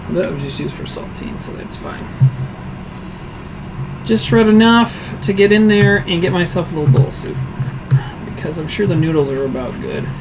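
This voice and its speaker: A hoarse man hosting a casual cooking livestream from his home kitchen, chatty and self-deprecating, answering viewers aloud as he works. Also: high voice, rough recording. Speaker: streaming cooking show host.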